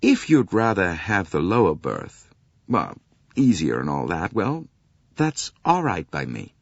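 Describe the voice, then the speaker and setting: A man's voice: deep voice, wildly swooping pitch, measured pace, gravelly, no room sound, dull audio, exaggerated voice. An actor playing a likable young male traveller, awkwardly polite and hesitant, in an audiobook. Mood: friendly, offering.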